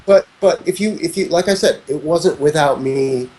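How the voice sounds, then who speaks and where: A man's voice: on the high side, moderate pace, dark tone. A man in his fifties, a music producer talking as an interview guest, rambling and reflective, often restarting his sentences. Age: 50s. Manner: rambling, frequent restarts and "you know"s, reflective. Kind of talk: casual conversational interview.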